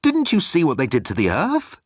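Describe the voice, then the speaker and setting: A man's voice: fairly high pitch, huge pitch swings, normal speed, slightly rough, no room sound, studio quality, voice pushed up, heavily acted. A man voicing a frantic, fretful Earthman who splutters in alarm, in an audiobook story. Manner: spluttering, panicky, exclaiming.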